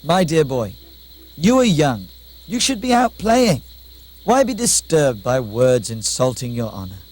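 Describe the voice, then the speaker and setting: A man playing a wise, calm sage in a puppet show, with formal, measured, instructive delivery. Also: fairly high pitch. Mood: gently testing.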